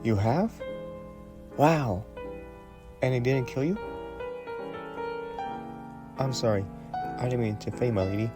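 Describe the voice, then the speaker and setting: A man playing a polite, gentle young prince in a romantic fantasy audio roleplay, speaking formally and a little hesitantly. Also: mid-range voice, lively intonation, takes it slowly, pauses often, over music. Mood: polite, curious. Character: young prince, courteous, gentle, shyly curious royal.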